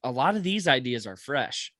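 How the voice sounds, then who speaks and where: A man co-hosting a podcast in casual chat, animated and saying "bro" and "dude" a lot. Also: medium pitch, normal speed, no echo, studio quality. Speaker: podcast co-host.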